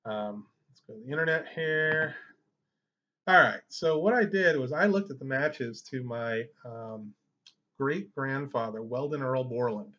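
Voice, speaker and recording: A man's voice: medium pitch, animated, a little slow, pushed and bright. Man giving a tutorial, dull lo-fi sound. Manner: conversational, explanatory. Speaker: genealogy tutorial host. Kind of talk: informal screen-share tutorial.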